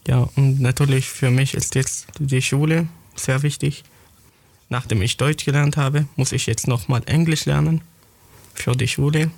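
A teenage boy from Syria answers interview questions in careful, halting German, often searching for the next word. His voice has a gravelly creak, and the sound is dry and close with no room echo. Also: mid-range voice, fairly even intonation, a little mellow. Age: teens. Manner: halting, searching for words, non-native grammar. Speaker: young student writer interviewed.

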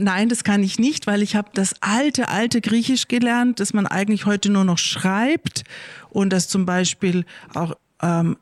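A middle-aged woman, a school head, calmly answering pupils' questions and explaining things slowly and clearly for children. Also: medium pitch, no echo. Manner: slow, careful, clear wording for kids.

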